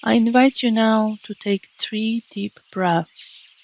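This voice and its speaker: A woman guides a meditation in a gentle, calming manner. Her delivery is slow, with long, measured pauses between phrases. The sound is a little muffled, and birds and nature sounds play behind her voice. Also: on the high side. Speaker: meditation teacher.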